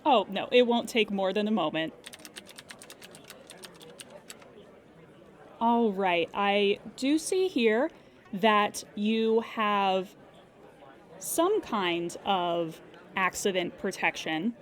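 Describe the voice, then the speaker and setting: A woman as a slow, careful claims agent. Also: medium pitch, lively intonation. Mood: businesslike. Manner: measured, formal, diplomatic. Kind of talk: improvised audio drama customer-service call.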